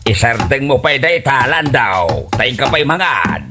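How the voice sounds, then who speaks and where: A man's voice: medium pitch, very animated, a little slow, over music. An actor playing a stingy, grumbling older boss with an Ilocano accent, scolding his worker in a radio comedy drama. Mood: grudging. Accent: Filipino, Ilocano. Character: stingy, scolding male boss of a laborer, an elder.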